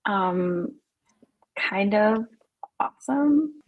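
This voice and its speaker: Woman acting a husky, unhurried young camp counselor. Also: medium pitch, animated, rough recording. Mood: playful, pleased. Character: playful, giggly young female camp counselor. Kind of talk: live script table read.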